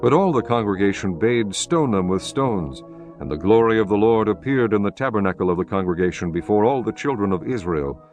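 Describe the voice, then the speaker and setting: A man narrating scripture from a script in a solemn, measured manner. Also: lowish voice, animated, darkish tone, soft background music.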